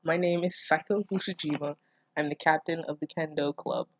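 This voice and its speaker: A reader voicing a bloodthirsty, possessive teenage kendo captain from a fan fiction story in a grim, deliberate way. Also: medium pitch, steady tone, highs cut off, slight background noise, close to an everyday voice. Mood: cold, menacing.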